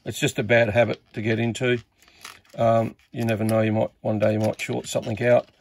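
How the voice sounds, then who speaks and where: Australian man with a creaky voice. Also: medium pitch, little pitch movement, fairly quick, slight background noise. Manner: hesitant, thinking aloud as he works. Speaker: radio repair hobbyist presenter. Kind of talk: casual unscripted repair walkthrough.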